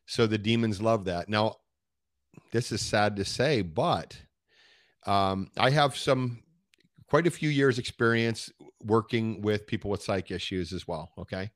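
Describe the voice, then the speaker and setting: A man speaking as a podcast guest, explaining conversationally in a halting way, often rephrasing. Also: on the low side, no room sound, studio quality.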